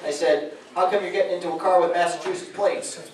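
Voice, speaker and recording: A man's voice: high-pitched, steady tone, fast. A man, a radio host emceeing a public meeting, telling stories in a folksy way with chuckling asides. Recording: echoey room from a distance.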